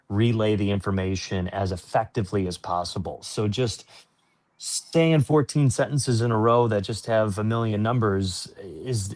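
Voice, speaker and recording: A man working as a sports announcer, answering interview questions in an earnest, informal, explanatory way. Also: lowish voice.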